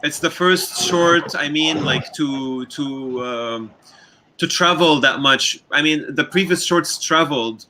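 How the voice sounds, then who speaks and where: A man from Lebanon, a filmmaker, speaking slowly and hesitantly on a panel, often repeating words. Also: on the high side, background noise. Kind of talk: panel discussion.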